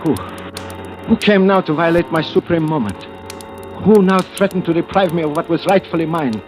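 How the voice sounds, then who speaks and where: An actor playing a nervous, secretive, obsessed treasure hunter in an old radio thriller, speaking haltingly and dramatically. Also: male voice, on the high side, natural voice. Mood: terrified. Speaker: actor narrating and playing the lead. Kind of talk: 1940s-50s radio thriller acting, first-person narration. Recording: old radio recording with music.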